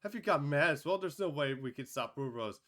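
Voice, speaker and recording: A jittery court attendant yelps and frets in a high, frantic voice, as a man puts him on. The tone is sharp and bright, like a fan-dubbed fantasy cartoon. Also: animated, moderate pace. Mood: panicked. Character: panicky male court attendant.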